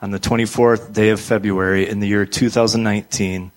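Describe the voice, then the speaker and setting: Male church officiant, fairly low voice, ceremony announcements and presentations. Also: little pitch movement, brisk. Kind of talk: informal ceremony announcements and formal reading.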